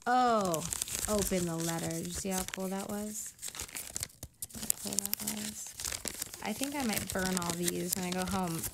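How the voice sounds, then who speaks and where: A woman's voice: mid-range voice, a little slow, creaky, background noise. Chatty rambling woman, Spanish native. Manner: chatty and rambling, with many fillers. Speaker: vlogger hosting her own show. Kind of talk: casual rambling chat, reading old letters aloud.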